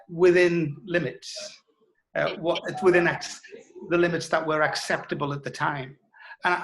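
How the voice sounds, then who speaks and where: A man talking reflectively in an interview, thoughtful and halting, repeating words for emphasis. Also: on the high side, poor audio. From North East England. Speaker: interview guest, writer.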